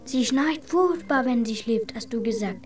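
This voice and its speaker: A woman's voice: a little high, lively intonation, moderate pace, distant mic, faint music behind, natural voice. A woman playing a stubborn, earnest little brother in a German radio drama. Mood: stubborn, insistent.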